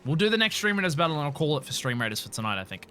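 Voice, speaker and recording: Sleepy streamer rambling between cutscenes, lively pitch, soft game music in the back. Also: very fast. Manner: relaxed, rambling, restarts words. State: tired. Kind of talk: live game playthrough with voiced reading.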